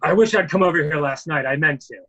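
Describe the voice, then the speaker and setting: A man's voice: on the high side, fast, lo-fi sound. A man playing a genteel, blustering army major with formal, hedging speech in a murder mystery reading. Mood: evasive, blustering. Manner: formal, hedging, old-fashioned gentlemanly phrasing. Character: blustering, genteel military major running for Congress.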